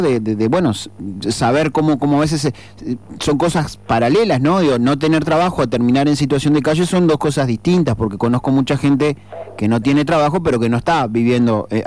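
A man's voice: medium pitch, darkish tone. A man hosting a radio talk show, speaking earnestly and reflectively with frequent fillers and restarts. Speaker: radio host. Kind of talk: radio commentary.

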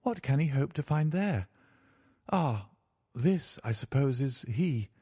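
A man voicing an earnest, gentle young lady with a polite, pleading manner in a storybook reading. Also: medium pitch, animated, slow pace, husky, dry recording, studio quality, pitched above the performer's own voice. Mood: earnest, hopeful.

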